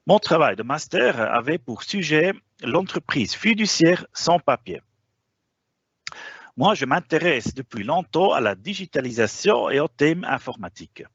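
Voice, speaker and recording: A man presenting a professional webinar in a language that is not his first, stopping often on hesitations. His voice rises and falls a lot and carries a gravelly, slightly husky texture. The sound is dry and a bit dull, like a lo-fi online call. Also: fairly high pitch, big pitch swings, a little slow, fairly bright tone, no echo. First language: not French. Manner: hesitant, frequent "euh" fillers.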